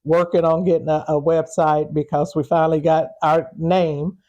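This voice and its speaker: A woman speaking off the cuff as an interview guest, pausing mid-phrase and repeating words as she goes. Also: low voice, level tone, dark tone, highs cut off. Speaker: interview guest, historical preservation group organizer. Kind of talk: unscripted interview talk.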